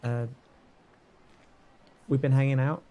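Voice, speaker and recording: A man's voice: mid-range voice, steady tone, takes it slowly, warm tone, natural voice. A man playing an awkward, reluctant schoolboy with a hesitant, stammering way of talking in a tabletop game. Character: awkward, reluctant schoolboy called Alex, placating his dad.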